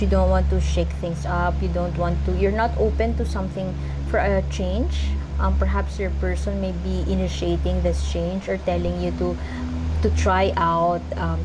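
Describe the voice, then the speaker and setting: A woman giving a casual tarot reading, speaking English as a second language and pausing often to search for words. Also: lowish voice, noisy background. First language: not English. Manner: halting, searching for words, frequent ums. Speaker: tarot reader.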